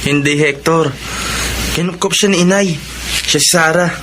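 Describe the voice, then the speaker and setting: A man's voice: on the high side, normal speed, noisy background, close to an everyday voice. A man acting a kind, dutiful young son in a radio drama, speaking politely with a Filipino accent. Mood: calm, explaining. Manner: polite, deferential.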